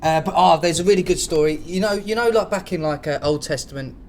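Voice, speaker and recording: Casual male podcast co-host, slightly high voice, slangy and sweary, some background noise. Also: quick pace, darkish tone. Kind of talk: casual comedic chat.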